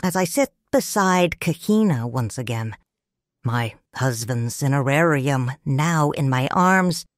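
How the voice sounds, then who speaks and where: A woman narrating a first-person audiobook story in a measured, solemn and expressive way. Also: a bass voice, dry recording.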